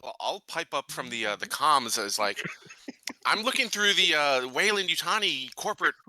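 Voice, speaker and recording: Very sharp, bright-voiced male tabletop player. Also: fairly high pitch, big pitch swings, moderate pace, poor audio. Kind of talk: casual in-character improvisation.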